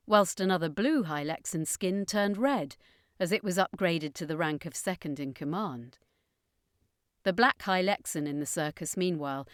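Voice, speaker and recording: A woman's voice: fairly low pitch, no room sound, studio quality. A woman reading a scripted fantasy adventure story as its narrator.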